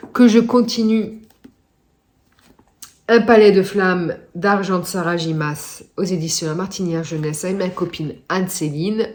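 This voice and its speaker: A woman's voice: fairly low pitch, darkish tone. A woman with a stuffy nose from a cold, chatting casually with many hesitations in a reading vlog. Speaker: book vlogger.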